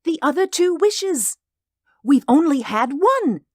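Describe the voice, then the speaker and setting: A man voicing a frantic, grieving old woman in a storybook reading, shrill, wild and pleading. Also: animated, takes it slowly, mellow, no room sound, clean studio sound, full character voice. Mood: hysterical.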